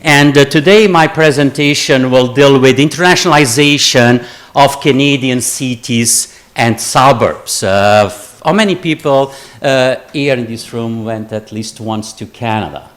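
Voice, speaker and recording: A man's voice: mid-range voice, a little slow, a bit bright. A middle-aged man from the Azores giving an academic conference talk, jet-lagged and tired, chatty and digressive with joking asides to the audience. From Azores, Portugal. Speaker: conference speaker, geographer. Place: lecture room with an audience.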